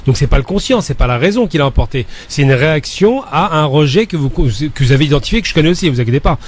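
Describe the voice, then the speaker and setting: A man's voice: a little high, lively intonation, fast, slight background noise. A man on a radio panel, debating in a casual, opinionated way.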